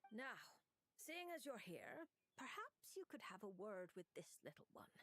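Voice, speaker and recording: A woman voicing an enigmatic, teasing fortune teller in a video game, with a sly, theatrical, unhurried delivery. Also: medium pitch, sing-song, fairly bright tone, no echo. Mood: amused. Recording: game music behind. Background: game music.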